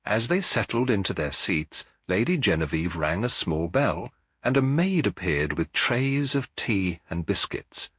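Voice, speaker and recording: Deep, husky man narrating a mystery. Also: very animated, unhurried, hardly any pauses, a bit bright, no echo. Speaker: audiobook narrator. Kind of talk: scripted dramatic mystery narration.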